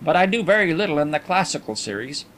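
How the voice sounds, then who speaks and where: A man's voice: fairly high pitch, sing-song, fast, a bit bright, slight background noise, pitched above the performer's own voice, low-quality recording. A man doing a genial, knowing coin dealer. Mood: candid. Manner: easygoing, chatty, knowing. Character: genial shrewd male coin dealer, middle-aged.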